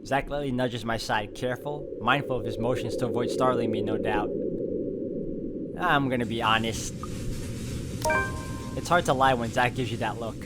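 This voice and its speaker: Man reading game narration through loud noise. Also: mid-range voice, normal speed, pauses often. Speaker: gameplay video host. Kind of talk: reading visual novel narration aloud.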